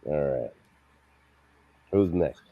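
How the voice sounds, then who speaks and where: A man hosting a film review show in casual, informal chat with an animated manner. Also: very deep voice, very slow, dry recording, phone-quality sound.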